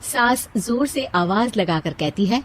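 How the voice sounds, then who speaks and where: A woman's voice: medium pitch, lively intonation, measured pace. A woman narrating a scripted moral story for children as the storyteller.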